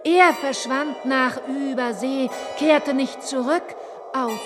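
A woman's voice: high voice, fairly even intonation, slow pace, roomy sound, music in the background. An actress playing a theatrical woman in a radio play, dramatic and declamatory in an old-fashioned style. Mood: plaintive, melodramatic. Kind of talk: 1950s radio play acting.

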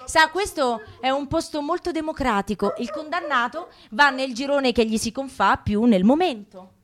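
A woman's voice: sits high, normal speed, crowd noise, close to an everyday voice. A woman playing a gushing, helpful receptionist among the damned in a radio comedy sketch. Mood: helpful, chatty. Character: chatty, helpful female receptionist of the damned lustful.

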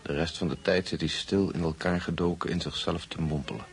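A man's voice: low voice, steady tone, brisk, soft background music. An actor playing a sober man who gives a grim report in a radio drama. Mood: somber, matter-of-fact. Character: sober male acquaintance reporting a grim outcome.

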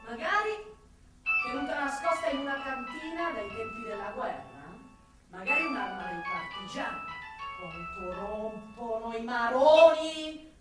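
A woman's voice: on the high side, expressive, measured pace. Scornful woman forensic investigator, very echoey. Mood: irritated, scornful. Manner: sardonic, blunt, swinging from muttering to outbursts. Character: cynical, foul-mouthed, scornful female forensic investigator. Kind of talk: theatrical Italian radio drama monologue. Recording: echoey, distant stage sound with music.

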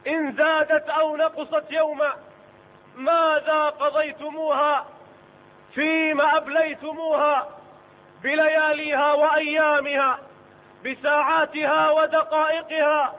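Man preaching a sermon, very high voice, flat monotone, tinny and echoey like a phone recording in a big hall. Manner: solemn declamatory preaching. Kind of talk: Friday sermon. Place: mosque.

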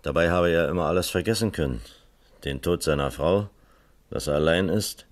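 A male actor as a composed police captain with a very low voice. He speaks in a measured, dryly ironic way, in the manner of a classic German radio crime play. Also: dry recording, natural voice. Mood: sober, questioning. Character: calm, dry East German police captain, male detective.

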